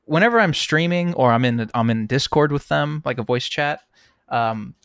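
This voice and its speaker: A man's voice: a little high, lively intonation, normal speed, a bit bright, clean tone, no echo, studio quality. A young American man, a guest on a podcast, chatting casually and laughing often, with plenty of fillers and restarts. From United States. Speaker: guest, game composer. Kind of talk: casual interview chat.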